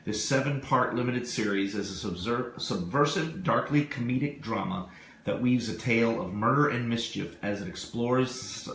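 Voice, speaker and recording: Male webcast co-host, lowish voice, reading prepared copy, roomy sound with faint noise. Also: fairly bright tone. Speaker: radio show co-host. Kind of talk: reading out a review on a live webcast.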